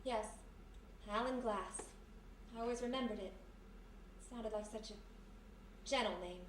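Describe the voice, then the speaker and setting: Plucky young girl Friday played by a woman: highish, bright, blunt, defiant; live radio mystery, echoey room. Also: slow. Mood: guarded. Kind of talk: live 1940s-style radio mystery acting. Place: live performance in a historic house room. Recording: echoey distant live recording, faint noise.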